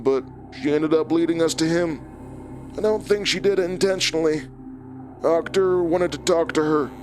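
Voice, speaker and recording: Guy playing a smug taunting villain in a horror drama, clipped and mocking, voice a bit high, music behind. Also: natural voice. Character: smug, taunting male old enemy, menacingly casual. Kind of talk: horror audio drama acting.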